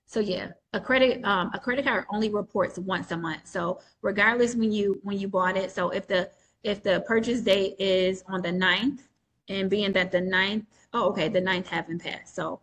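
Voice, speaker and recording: A woman's voice: mid-range voice, fairly even intonation, normal speed. Chatty woman coach on a video call. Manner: explains at length with many restarts and fillers. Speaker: coach selling a mentorship. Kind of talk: live webinar Q&A.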